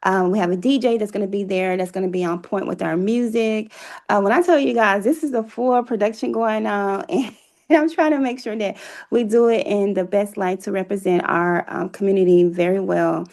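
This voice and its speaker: Creaky-voiced woman explaining her plans. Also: medium pitch, normal speed, a little mellow. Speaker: playwright and producer, interview guest. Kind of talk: casual interview, explaining plans.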